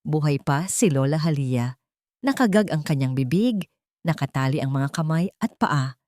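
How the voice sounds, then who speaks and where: A woman with a low, even voice tells a grim story in a steady, scripted way. She is recorded close and dry, with no room sound around her. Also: moderate pace, no echo. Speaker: narrator. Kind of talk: scripted dramatic narration.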